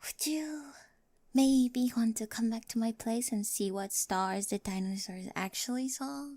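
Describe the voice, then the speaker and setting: A woman playing a quirky, nerdy high school girl with a crush, in an ASMR roleplay with awkward, eager rambling and whispery asides. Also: measured pace, mellow, no room sound. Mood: hopeful, nervous. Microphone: close microphone, dry.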